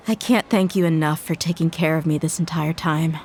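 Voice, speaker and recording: An actress voicing a tough, reflective female mercenary in a video game, speaking calmly and candidly. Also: low voice, normal speed, slight background noise.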